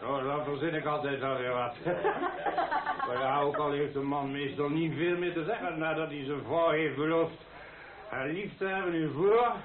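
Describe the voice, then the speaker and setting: A man's voice: fairly high pitch, fairly even intonation, moderate pace, background noise, natural voice. A man playing a folksy, jovial older father of the bride giving a rambling, self-deprecating wedding speech in a radio play. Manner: rambling, self-deprecating, hesitant public speaker. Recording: tinny band-limited old radio play, echoey crowded hall.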